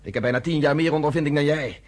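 A man's voice: fairly high pitch, fast, lightly acted. A man in his thirties playing a blunt, commanding underground leader in a radio play. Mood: bitter. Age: 30s.

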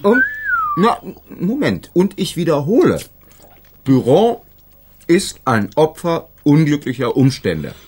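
Earnest, slightly husky male lawyer. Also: on the high side, huge pitch swings, slow, a little mellow, heavily acted. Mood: insistent. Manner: earnest, self-justifying, banters back. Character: idealistic, proud male defence lawyer, defending underdogs. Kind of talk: naturalistic German radio crime drama acting.

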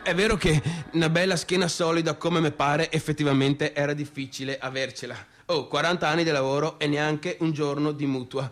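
An actor with a northeastern Italian Veneto accent playing a bitter, rambling son of a small-town businessman, in a halting, colloquial theatrical monologue. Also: on the high side, steady tone, fairly bright tone, close to an everyday voice. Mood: wry, bitter. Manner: halting, repetitive, confiding, conversational. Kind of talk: theatrical monologue in colloquial dialect-tinged speech.

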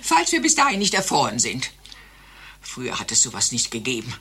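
An actor in a satirical radio play playing a grumbling older patient, querulous and nostalgic for better times. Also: male voice, mid-range voice, huge pitch swings, fairly quick, pushed and bright, slight background noise, heavily acted.

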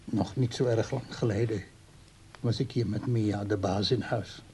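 An actor playing a stubborn, hurt old father in a radio drama, halting and repeating himself in distrust. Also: fairly low pitch, steady tone, darkish tone, slight background noise. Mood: dejected. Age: older. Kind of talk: naturalistic radio drama acting.

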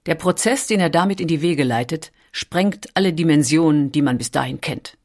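A woman reading scripted documentary narration in a measured, deliberate storytelling manner with weighty pauses. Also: sits low, animated.

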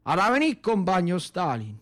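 A man's voice: sits high, huge pitch swings, normal speed, fairly bright tone, heavily acted. A man playing fervent villagers chanting political slogans insistently in radio theatre.

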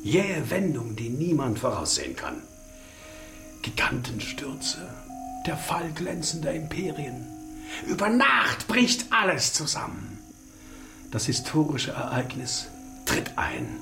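An actor playing a menacing, grandiose East German secret police colonel in a radio drama, pompous and theatrical. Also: male voice, medium pitch, huge pitch swings, slow pace, gravelly, music in the background, exaggerated voice. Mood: grandiose, bitter. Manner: pompous, theatrical, swinging from soft menace to outbursts.

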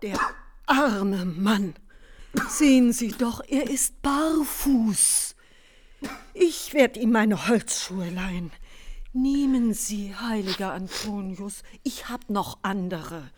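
A woman's voice: mid-range voice, slow, a little mellow. An actress playing a kind-hearted, devout servant woman in a German radio play, earnest and deferential. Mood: compassionate.

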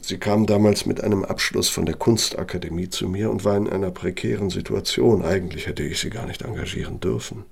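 An actor in a German radio play playing an elderly, self-justifying architect with a gravelly, husky voice and a measured, lecturing manner. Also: male voice, sits low, heavily acted. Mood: guarded, reminiscing. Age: older.

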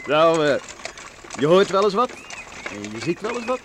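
An actor playing a young country cart driver in a Dutch radio play, jovial, folksy, teasing and gossipy. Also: male voice, a little high, sing-song, normal speed, noisy background. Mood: sly, teasing. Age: young adult.

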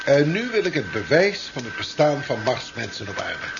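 Sceptical professor, 1970s radio serial: lively intonation, formal, earnest; muffled archive sound. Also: male voice, mid-range voice, moderate pace, vocal fry, music in the background. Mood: earnest, insistent. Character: unworldly, sceptical male scientist professor, proud and earnest. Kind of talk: 1970s Dutch radio drama acting. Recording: slightly noisy, dull 1970s radio recording.

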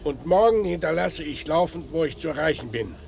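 An actor playing a brisk, probing police inspector who questions and orders tersely in an old German crime radio play. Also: male voice, on the high side, expressive, gravelly, darkish tone, a little hiss or hum. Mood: commanding.

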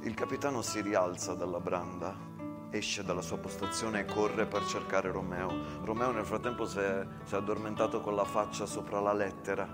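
A man narrating a theatrical monologue in short, measured phrases with dramatic pauses. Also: on the low side, little pitch movement. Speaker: actor-storyteller. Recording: long reverb, loud guitar music behind. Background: plucked guitar music.

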